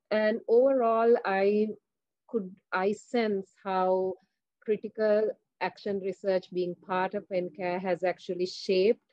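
Low-voiced woman from Sri Lanka, dull call audio. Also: darkish tone, no room sound. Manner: measured, explaining slides. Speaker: professor, panelist. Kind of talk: academic conference presentation. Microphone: video call.

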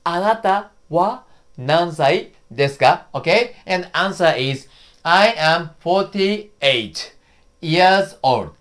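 A Japanese-accented man in his fifties, a native Japanese speaker, teaching a casual lesson from a small studio room. He talks slowly, drills words again and again, and his pitch swings up and down a lot. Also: lively intonation, a bit bright. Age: 50s. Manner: slow, repetitive drilling with cheerful laughs. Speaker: YouTube host and teacher.

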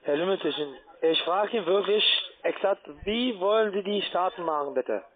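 Male call centre agent, high-pitched, foreign accent, halting broken sales talk over a lo-fi telephone connection. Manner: halting, ungrammatical speech. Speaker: call centre account manager, scam caller. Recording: band-limited, tinny phone line.

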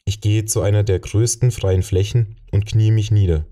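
A man's voice: fairly low pitch, steady tone, moderate pace, dark tone. A man reading a fantasy novel as an audiobook narrator, in a scripted first-person storytelling style.

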